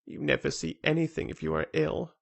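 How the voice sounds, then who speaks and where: A man's voice: a little high, expressive, measured pace, gravelly, husky, voice pushed up, full character voice. A man reading aloud who voices a petulant, sickly bedridden boy with a fretful, cross manner. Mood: wistful. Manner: fretful, cross, self-pitying.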